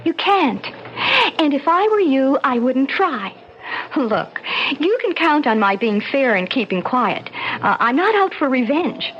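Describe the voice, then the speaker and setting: A woman's voice: high voice, big pitch swings, unhurried, dull audio. An actress playing a cool young woman who speaks in icy, pointed jabs in a 1970s radio drama on a hissy old broadcast. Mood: cold.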